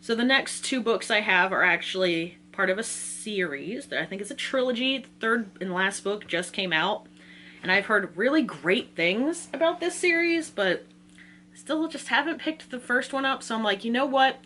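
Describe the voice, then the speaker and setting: A woman's voice: on the high side, animated, moderate pace, sharp tone, a little hiss or hum. A woman talking casually in an informal, self-deprecating way, like a book vlogger running through a reading list.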